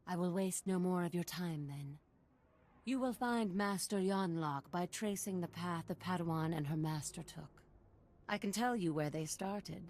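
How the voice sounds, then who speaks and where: A woman's voice: fairly low pitch, unhurried, darkish tone, slight background noise. A woman voicing an eccentric, deferential desert guide in a video game, formal and mysterious as she tells her tale. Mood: reverent intrigue. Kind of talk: scripted video game acting.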